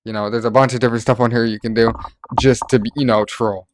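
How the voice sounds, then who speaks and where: A man's voice: medium pitch, little pitch movement, fast, a little hiss or hum. A man narrating a casual gaming tutorial in an informal, rambling way, like a content creator talking to followers.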